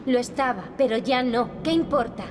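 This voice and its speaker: An actress playing an earnest, determined young princess in a video game. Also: female voice, a little high, normal speed, noisy background, lightly acted. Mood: remorseful. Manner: earnest, serious. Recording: loud game music behind. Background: music.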